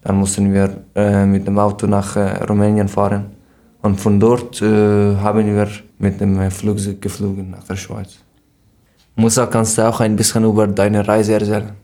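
A seventeen-year-old young man from Afghanistan, a podcast guest, speaking haltingly with frequent fillers. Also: on the low side, completely flat delivery, dark tone. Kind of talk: casual podcast interview.

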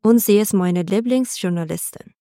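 A woman's voice: medium pitch, moderate pace, warm tone, dry recording, studio quality. A woman from Afghanistan, a non-native speaker, taking part in a prepared podcast discussion. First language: not German. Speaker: podcast participant.

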